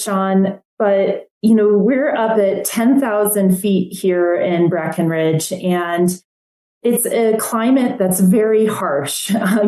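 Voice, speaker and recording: An echoey recording of a woman being interviewed, her voice mellow and middling in pitch. She explains things conversationally, like a museum professional talking about her work. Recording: roomy, echoey sound.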